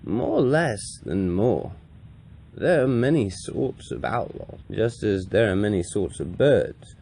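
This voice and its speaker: A man performing a grave, compassionate old wandering priest in a solemn, deliberate dramatic monologue with a gravelly voice. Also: fairly low pitch, lively intonation, warm tone, heavily acted. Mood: grave, measured. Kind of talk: dramatic audiobook-style monologue reading.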